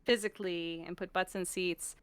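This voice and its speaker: A woman's voice: on the low side, steady tone, moderate pace, clean tone, dry recording. An American woman chatting casually and expressively as a guest on a stream, acting out bits of what she quotes. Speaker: voice actor and performer, guest on a stream. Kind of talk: casual, animated chat.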